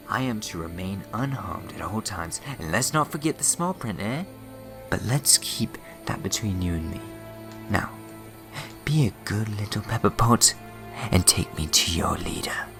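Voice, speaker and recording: A man's voice: fairly low pitch, lively intonation, normal speed. A man playing a scheming, mischievous and theatrical villain in a sci-fi fan audio drama, mocking in manner. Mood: smug, teasing. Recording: echoey reverberant hall, music underneath.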